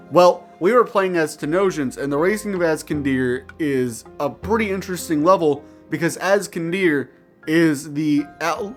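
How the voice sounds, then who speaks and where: A man with a fairly high voice tells stories to his viewers in a loose, rambling way. He talks like a gamer among friends, playful and crude, tossing in swearing and made-up words. Music plays under his voice. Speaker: gaming storyteller and channel host. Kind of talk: casual, rambling anecdote told to viewers.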